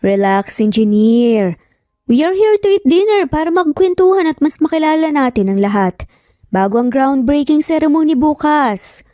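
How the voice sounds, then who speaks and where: A woman's voice: high voice, very animated, normal speed, dark tone, dry recording, studio quality, voice pushed up. A woman voicing a flirtatious, sociable male attorney in a romance story, playful, with a Filipino accent. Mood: amused.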